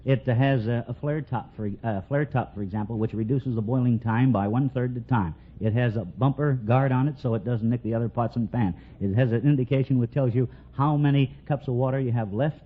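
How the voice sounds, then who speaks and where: A man's voice: mid-range voice, a little fast, hardly any pauses. Earnest salesman on muffled old radio. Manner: polite, earnest. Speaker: quiz contestant, gadget salesman. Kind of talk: earnest sales-pitch answers in interview. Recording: muffled, hissy 1940s radio recording.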